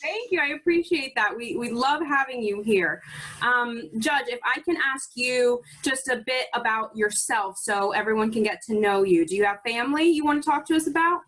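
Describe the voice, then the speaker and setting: A woman's voice: on the high side, animated, moderate pace, a bit bright, poor audio. A woman interviewer from a bar association asking polite, encouraging questions over a video call. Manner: polite, encouraging, repeats praise.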